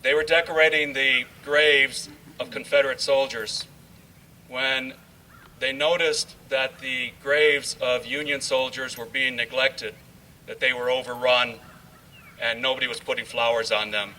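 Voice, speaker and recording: A man addressing a ceremony as its host, speaking in a deliberate, halting way. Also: mid-range voice, flat delivery, sharp tone. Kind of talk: public address with read-aloud passages. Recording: distant mic, faint background noise.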